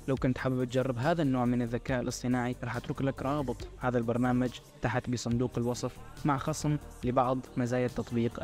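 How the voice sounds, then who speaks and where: Man, 20s. Brisk tutorial presenter for a promo video, faint music bed. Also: medium pitch, darkish tone. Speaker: software review presenter. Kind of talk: scripted promotional tutorial narration. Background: background music.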